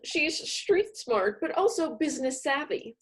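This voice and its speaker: High, expressive woman with wry delivery. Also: measured pace. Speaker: comedy troupe performer, narrator. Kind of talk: scripted comedy narration.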